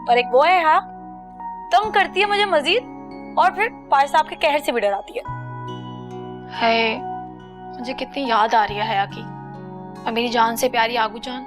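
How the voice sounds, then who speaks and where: A woman's voice: on the high side, unhurried, long pauses, over music, lightly acted. A woman playing a dramatic, self-pitying young woman. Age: young adult. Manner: theatrical, self-pitying, chatty.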